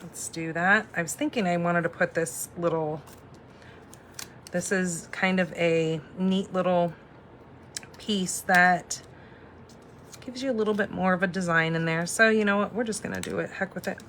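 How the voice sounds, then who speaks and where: Woman in her 40s doing a crafty live demo, chatty, bright kinda low voice, bit of noise behind her. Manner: chatty, thinking aloud. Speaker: crafting host and instructor.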